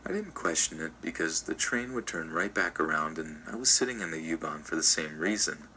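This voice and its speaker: A man reading a scripted first-person story in an even, measured way. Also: very deep voice, slight background noise, rough recording. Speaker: story narrator.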